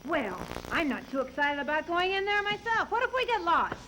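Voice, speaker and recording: Woman as an excitable storybook captain, bright and high. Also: brisk, slight background noise, heavily acted. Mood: anxious.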